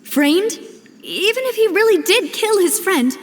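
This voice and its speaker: An actress playing a young female syndicate boss in a video game, composed, earnest and guarded, speaking in a measured, polite way. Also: very high voice, expressive, slight background noise. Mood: determined. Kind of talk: video game cutscene acting. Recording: long reverb, music behind.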